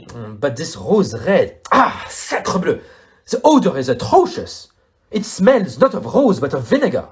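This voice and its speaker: A man playing a fussy, courteous middle-aged detective with a French accent and a theatrical, deliberate manner in an audio play. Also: a little high, wildly swooping pitch, lo-fi sound, slight background noise. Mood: fussy, observant.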